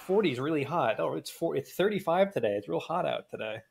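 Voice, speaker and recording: A man's voice: a little high, animated, fast, vocal fry, a little hiss or hum. A man appearing as a returning guest on a podcast over a video call, rambling casually and restarting his sentences. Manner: rambling, restarts a lot, says like often. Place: home room. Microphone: studio mic on stand, video call.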